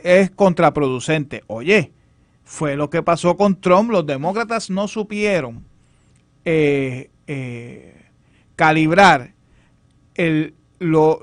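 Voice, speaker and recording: A man with a Puerto Rican accent giving unscripted political commentary as a panelist, halting and measured as he argues. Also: fairly high pitch, dry recording. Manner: halting, hesitant, measured argument.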